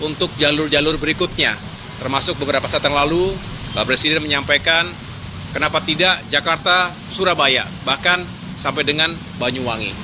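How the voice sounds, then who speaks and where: Higher-pitched man, halting official statement outdoors. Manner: deliberate, halting short phrases. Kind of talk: spoken press statement. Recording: noisy outdoor street with traffic.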